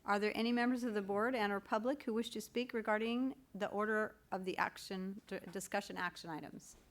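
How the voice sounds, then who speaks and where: A woman speaks as the chair of a public board meeting. Her voice sits at an average pitch, and she works through formal procedure in a measured, official way. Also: steady tone.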